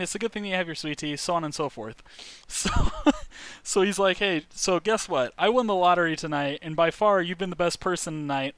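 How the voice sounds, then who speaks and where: A young man casually telling a funny story to his viewers, animated, acting out the lines he quotes. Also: on the high side, clear, resonant tone, dry recording. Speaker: YouTube host.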